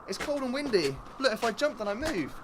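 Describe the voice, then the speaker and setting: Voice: mid-range voice, very animated, fairly quick, noisy background, natural voice. A performer acting in a radio drama as a small stubborn boy who protests in a childlike way. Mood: playful, defiant. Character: small tired stubborn boy fleeing with his mother.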